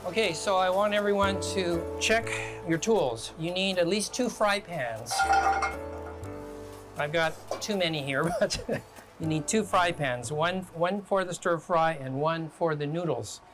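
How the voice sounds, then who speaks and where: A man from Canada working as a guest chef, talking relaxed and step by step through a home cooking demonstration in a kitchen. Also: on the high side, a little slow, loud music behind the voice.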